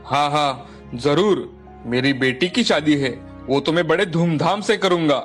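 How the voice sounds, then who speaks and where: Storybook father, played by a man: doting, exclamatory, somewhat high male voice, soft music underneath. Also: unhurried, close to an everyday voice. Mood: eager. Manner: exclamatory, repeats words for emphasis. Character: doting, excitable father of two daughters, remorseful and devout.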